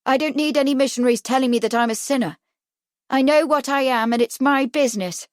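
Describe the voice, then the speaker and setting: A woman's voice: a little high, moderate pace, darkish tone, dry recording, clean studio sound, close to an everyday voice. A woman voicing a defiant, world-weary young English woman in a story, snappish and sullen. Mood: hostile.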